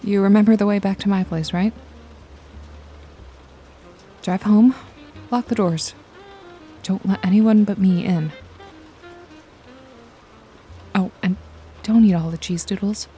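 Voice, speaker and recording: A woman's voice: medium pitch, moderate pace, dark tone, lightly acted. A woman playing a wry, jaded detective and hired killer in a noir ASMR roleplay, nonchalant and teasing with a casually menacing edge. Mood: cool, teasing. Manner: sardonic, foul-mouthed, nonchalant, teasing. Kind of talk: noir ASMR roleplay, intimate one-sided dialogue to listener. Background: jazzy music and rain effects.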